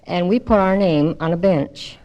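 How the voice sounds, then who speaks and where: A woman's voice: lowish voice, moderate pace, mellow, dull audio. A woman in her forties, a guest casually reminiscing on a 1940s broadcast. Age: 40s. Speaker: guest, childhood friend reminiscing. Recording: noisy 1940s broadcast before an audience.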